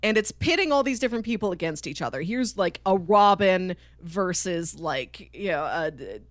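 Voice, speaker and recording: A woman's voice: on the low side, animated, normal speed, no room sound, studio quality. A woman hosting a podcast, chatting casually about a cartoon series. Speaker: podcast host.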